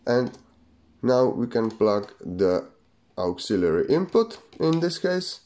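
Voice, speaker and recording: A man's voice: mid-range voice, big pitch swings, slow pace, warm tone. A man casually demonstrating and reviewing a product in simple, non-native English phrasing. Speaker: gadget reviewer.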